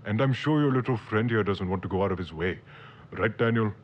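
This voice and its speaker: A man playing a protective, skeptical father in an audio drama, gently disapproving, on a muffled, faintly noisy recording. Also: lowish voice, fairly quick, natural voice. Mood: concerned. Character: protective, worried, skeptical father of a grown daughter.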